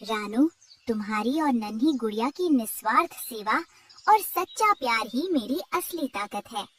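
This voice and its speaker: Woman voicing a high, solemn sacred cow. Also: lively intonation, unhurried, fairly bright tone, husky, far from the mic, full character voice. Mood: solemn blessing. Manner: solemn, moralizing, declamatory. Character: righteous, divine mother cow who blesses and punishes. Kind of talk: cartoon story voice acting.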